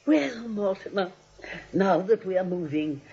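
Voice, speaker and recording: A woman's voice: mid-range voice, expressive, a little slow, mellow, slightly rough, exaggerated voice. An older woman playing a kindly, dotty aunt in 1940s radio comedy, genteel and eager in manner. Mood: cheerful, genteel. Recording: muffled vintage radio recording.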